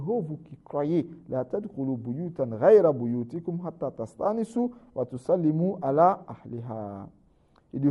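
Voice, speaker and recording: A man preaching a sermon in French, constantly adding "n'est-ce pas" and swinging between raised, emphatic phrases and low, trailing endings. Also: dark tone, muffled recording. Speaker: Islamic preacher.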